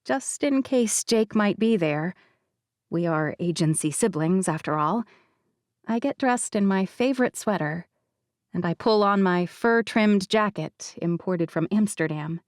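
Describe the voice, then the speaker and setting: A woman narrating a first-person romance audiobook with wry, confiding warmth and easy conversational timing. Her voice sits at an ordinary middle pitch for a woman. The close studio recording is dry, with no room sound. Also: lively intonation, unhurried, dry recording.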